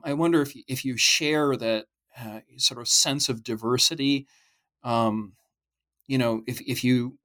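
A man asking long questions in an academic podcast interview. He talks hesitantly, circling and restarting as he thinks aloud. His voice sits at an ordinary middle pitch. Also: a bit bright. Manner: hesitant, many restarts and fillers. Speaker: podcast host interviewing an author.

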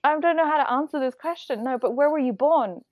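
A young woman from Bali, a guest speaking thoughtfully in a casual conversation, pausing to choose her words. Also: high-pitched, darkish tone, no echo, clean studio sound. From Indonesia (Bali). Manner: reflective, thoughtful, with hesitations.

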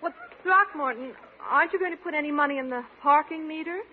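An American actress playing a poised, gently demanding lady neighbor in a 1950s radio comedy. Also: high voice, quick pace, close to an everyday voice. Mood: expectant, prodding. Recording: narrow-band old radio recording, faint noise.

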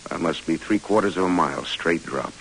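An actor playing a brooding, affectionate American army major turned explorer in an old radio drama. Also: male voice, low voice, a little fast, rough recording. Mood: playful, coaxing. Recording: hissy old radio recording.

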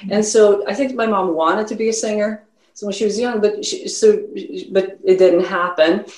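A woman's voice: medium pitch, fairly even intonation, moderate pace, darkish tone, roomy sound, poor audio. A woman who grew up in Sweden, with a slight Swedish accent, talking casually as a musician guest in an interview and rambling with many fillers.

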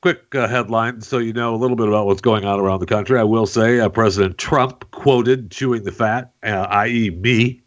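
Male podcast host, very low voice, dull lo-fi sound, joking, filler-heavy headline chat. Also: steady tone, moderate pace, few pauses. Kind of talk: casual news chat.

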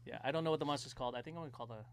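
A man chats casually in a medium-pitched voice. He is halting and jokey as he talks. A faint hum sits behind him. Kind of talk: casual chat.

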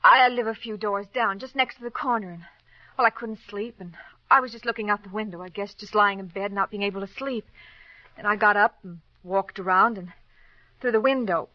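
A woman playing a nervous, defensive female witness in a vintage radio drama, speaking in a hesitant, halting way. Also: mid-range voice, dry recording, natural voice. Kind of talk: 1940s-50s radio drama acting. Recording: muffled old radio recording, dry studio.

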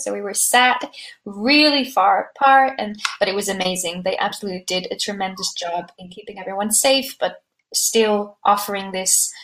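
A soft-spoken young woman, a startup co-founder, talking casually into a podcast microphone. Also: mid-range voice, low-quality recording. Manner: soft-spoken, conversational, with fillers. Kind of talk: podcast interview answers.

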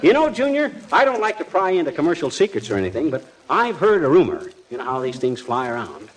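Eager, high-voiced folksy husband. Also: male voice, very animated, fast talker. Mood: teasing. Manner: folksy, slangy, exclaiming. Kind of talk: 1940s radio comedy acting. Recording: slightly noisy vintage radio recording.

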